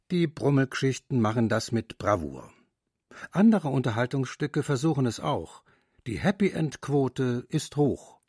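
A man reading the narration of a radio documentary feature in a measured, clear way. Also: mid-range voice, a little mellow, dry recording, studio quality.

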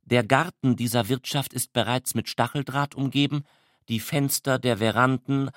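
A man reading scripted literary narration in a measured, matter-of-fact way. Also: medium pitch, fairly even intonation, fairly bright tone, no room sound, studio quality.

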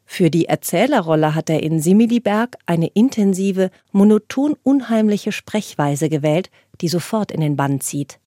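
Mellow, low-voiced woman reviewer. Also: animated, moderate pace, few pauses, no room sound. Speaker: radio reviewer. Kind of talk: scripted narration, cultural review. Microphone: close studio microphone.

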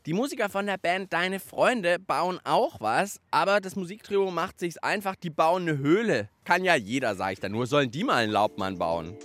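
A man's voice: fairly high pitch, lively intonation, normal speed, few pauses, fairly bright tone, dry recording. A man hosting a children's radio show outdoors in a park, playful and enthusiastic. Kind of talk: lively kids' show presenting.

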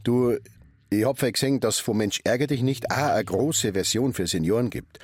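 A man's voice: medium pitch, brisk, a little mellow, husky, no echo, close to an everyday voice. An actor playing a talkative middle-aged hairdresser, a fisherman's son, with a Bavarian accent in a radio drama. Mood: chattering, eager. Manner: talkative, colloquial narrating. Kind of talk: radio drama monologue and dialogue.

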